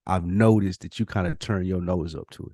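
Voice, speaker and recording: A man's voice: sits low, steady tone, brisk, warm tone, dry recording, studio quality. An American man co-hosting a podcast, debating casually in slangy, sweary conversational speech. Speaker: podcast co-host.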